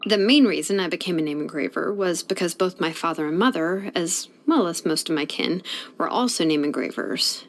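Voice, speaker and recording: An actress voicing a laid-back, sleepy young female blacksmith in a video game, with a relaxed, drawling, casual way of talking. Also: on the low side.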